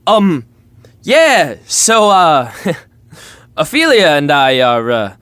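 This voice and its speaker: A man's voice: fairly high pitch, huge pitch swings, slow, a bit bright, clear, resonant tone, no echo, heavily acted. A man playing a cocky, flippant young prince in a romantic comedy audio drama, talking casually and stumbling when put on the spot. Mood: flustered. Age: young adult.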